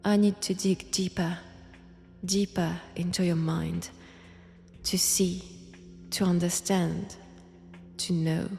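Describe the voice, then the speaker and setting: A woman playing a mad, possessive young vampire in a roleplay audio, with a French accent and a slow, hushed, intimate delivery that often slips into whispers. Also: on the low side, level tone, creaky, soft background music. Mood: possessive, menacing.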